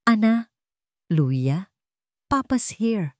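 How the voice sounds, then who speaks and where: Anxious rich dad, played by a female narrator, urgent and commanding, raised pitch, dry audio drama sound. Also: big pitch swings, extremely slow, darkish tone, dry recording. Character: anxious wealthy father searching for his twins.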